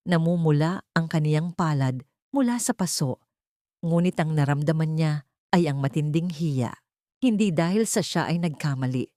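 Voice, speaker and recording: A woman's voice: fairly low pitch, a little mellow, no echo, studio quality. A woman narrating a scripted emotional story as a dramatic storyteller.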